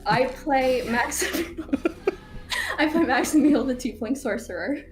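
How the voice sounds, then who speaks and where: A woman introducing herself as a player in a tabletop game stream, quick and laughing. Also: high voice, animated. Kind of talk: casual livestream chat. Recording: echoey room with noise.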